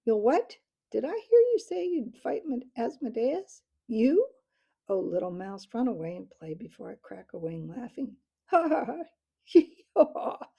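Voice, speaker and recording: Storybook owl captain, male, mocking and raucous, a woman reading it, gravelly and dark. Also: mid-range voice, expressive, unhurried, exaggerated voice. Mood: laughing.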